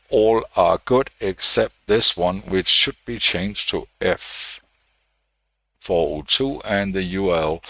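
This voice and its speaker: A man from Denmark hosting a live electronics design stream, talking through his steps in a relaxed way with many fillers and restarts. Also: low voice, no echo. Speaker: electronics channel host.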